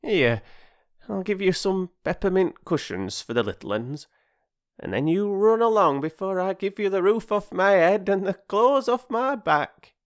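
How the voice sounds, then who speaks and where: A generous, sentimental old village woman with homely, old-fashioned country speech, as a male narrator puts her on. She speaks well above the reader's own pitch, rising and falling freely. The tone is warm-hearted and gently fussing. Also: big pitch swings, unhurried. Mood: bustling.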